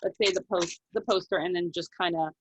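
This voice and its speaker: A woman's voice: on the low side, steady tone, fairly quick, background noise. A woman speaking as an illustrator guest in a casual interview, explaining her process step by step in informal language.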